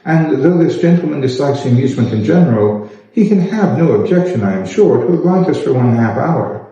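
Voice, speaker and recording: A man voicing an effusive, flattering older gentleman in a formal storybook reading. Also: mid-range voice, brisk, pitched above the performer's own voice, close to an everyday voice. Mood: effusive flattery. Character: gushing, courtly older English gentleman. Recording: echoey room, slightly dull sound.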